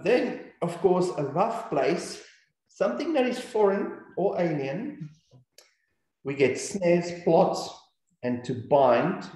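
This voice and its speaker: A man's voice: a little high, warm tone. A man with a New Zealand accent teaching scripture in an earnest, repetitive way. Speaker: Bible teacher. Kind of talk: scripture teaching over slides. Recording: very echoey room.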